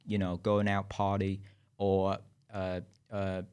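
A man's voice: sits low, completely flat delivery, takes it slowly, a little mellow, clear, resonant tone. A young man hosting a podcast, a non-native English speaker chatting casually, often restarting his sentences and laughing through his stories. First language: not English. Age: young adult. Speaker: podcast host. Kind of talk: casual chat.

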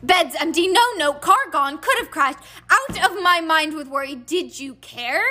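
A woman's voice: high-pitched, big pitch swings, unhurried, sharp tone, pitched above the performer's own voice, heavily acted. A woman voicing a frantic, scolding middle-aged mother in an audiobook, with breathless reproaches. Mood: furious, worried.

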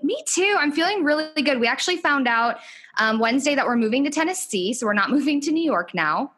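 A woman guest on a podcast with a bright, crisp voice. She chats in a relaxed, upbeat way, and sounds like she is on a video call. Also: sits high, fast. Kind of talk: casual chat.

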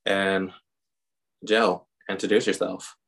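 A man hosting a casual interview podcast, talking calmly and guiding the conversation with plain questions. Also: low-pitched, slow pace. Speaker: podcast host.

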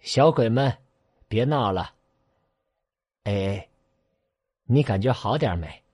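An optimistic father in a sci-fi radio drama. He explains and reassures with very lively intonation, recorded close and dry. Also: medium pitch, slow, slightly rough, no echo. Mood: mild authority. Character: space-faring father, optimistic, reassuring family man. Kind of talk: Chinese sci-fi audio drama acting.

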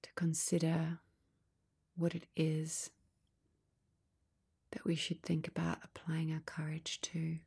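A woman guides a meditation in a low, husky near-whisper with a creaky edge. She speaks very slowly and calmly, in short phrases with long pauses. Her tone stays soft and even throughout.

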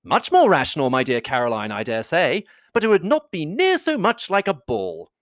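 Easygoing young gentleman, voiced by a man; breezy, dry recording. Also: fairly high pitch, huge pitch swings, moderate pace, dry recording, pitched above the performer's own voice.

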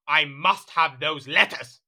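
A man's voice: fairly high pitch, takes it slowly, bright, forceful tone, full character voice. An actor playing a petulant, jealous French king in a period audio drama, speaking in an imperious, indignant, theatrical manner.

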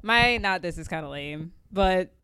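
A woman's voice: lowish voice, lively intonation, measured pace, sharp tone, clean tone. A woman co-hosting a casual group chat, playful and teasing as she talks. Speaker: podcast co-host.

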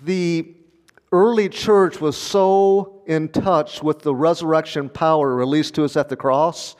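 A man's voice: fairly high pitch, steady tone, unhurried, darkish tone, long reverb tail. A man preaching a sermon in an earnest, conversational way, with small laughs as he talks. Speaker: pastor.

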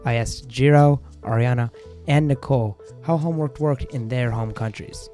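A young man hosting a student podcast, reading scripted linking narration in a measured way. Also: mid-range voice, dark tone, faint music behind. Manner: measured, reads linking lines. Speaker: host.

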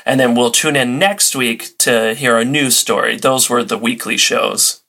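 A man with a mid-range voice chats as a podcast guest. He explains things like an enthusiast, casually and with animated, joking ease. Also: medium pitch, a little slow, vocal fry. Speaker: podcast guest, old-time radio enthusiast.

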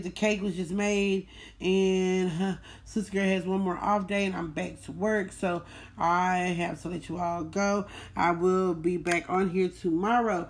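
A woman's voice: mid-range voice, darkish tone. A woman hosts a casual book club podcast, talking in a folksy, emphatic way and repeating key words for stress. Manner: folksy, addresses listeners as y'all. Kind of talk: casual chat, recapping a book.

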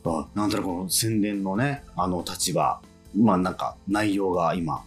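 A man's voice: deep voice, moderate pace, faint music behind. A man hosting a casual radio interview, asking questions and reacting expressively with frequent interjections. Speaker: radio show host, interviewer.

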